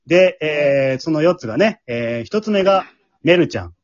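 A man with a Kansai accent hosting a casual chatty show among friends, teasing and quick to laugh, recorded remotely from home. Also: a little high, animated, lo-fi sound. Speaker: leader of an amateur drama group, hosting.

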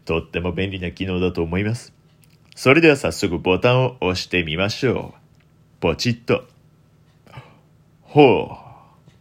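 A young man hosting a solo radio talk in a deliberately put-on deep, velvety voice, playful and self-mocking.

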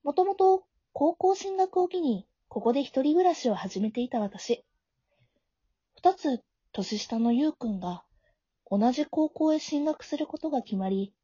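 Woman doing a high mellow schoolgirl voice, flustered but caring, audio drama w/ inner narration, bit muffled lo-fi. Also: breathy edge, no echo, exaggerated voice. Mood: expectant. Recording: dull, lo-fi home recording.

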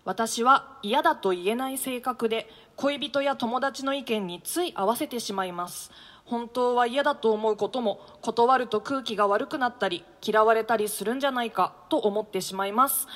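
A woman's voice: on the high side, normal speed, a bit bright, long reverb tail. A woman co-hosting a radio show in a chatty, conversational style. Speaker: radio show co-host, actress.

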